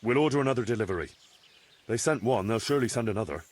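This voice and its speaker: An actor playing a plainspoken young medieval bailiff. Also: male voice, mid-range voice, animated, rapid-fire, natural voice. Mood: weary, resolute. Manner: plainspoken, matter-of-fact. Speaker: village bailiff character. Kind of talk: video game cutscene acting.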